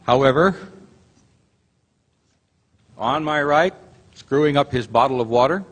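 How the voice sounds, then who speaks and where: A man's voice: medium pitch, a little slow. A man introducing the cast on a theater stage before a live audience, chatty and joking. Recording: long hall reverb, loud audience and noise. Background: audience noise and laughter.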